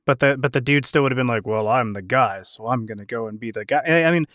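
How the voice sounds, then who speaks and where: A man's voice: medium pitch, fast talker, a bit bright, dry recording. A man hosting a casual discussion in a conversational way. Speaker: discussion host. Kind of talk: casual chat.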